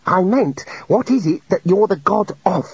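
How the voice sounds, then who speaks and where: A man voicing an earnest, nervous young male wizard who explains things haltingly, in an audiobook reading. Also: sits high, lively intonation, a little mellow, husky, no echo, lo-fi sound, voice pushed up. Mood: hesitant. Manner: hesitant, explanatory.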